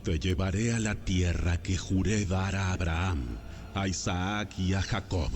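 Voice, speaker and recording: A man's voice: sits low, unhurried. A man playing a solemn, authoritative God in a dramatized audio Bible, speaking in declarations. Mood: solemn, commanding.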